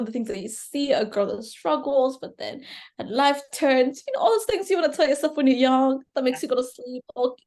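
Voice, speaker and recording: A young woman from Rwanda whose first language is Kinyarwanda speaks as a guest on a casual podcast. She talks earnestly and thinks out loud, often restarting her phrases as she works toward her point. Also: fairly high pitch. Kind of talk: casual conversation.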